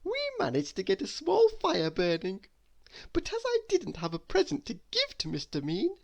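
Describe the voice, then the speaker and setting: A woman voicing a cheerful little girl in a storybook, bright and chirpy. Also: mid-range voice, huge pitch swings, moderate pace, vocal fry, voice pushed up, full character voice.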